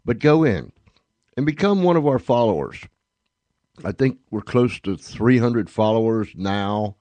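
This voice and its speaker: A man's voice: lowish voice, animated, unhurried, mellow, dry recording, dull audio. A man hosting a radio show, making casual, unscripted announcements to listeners in a rambling, repetitive way.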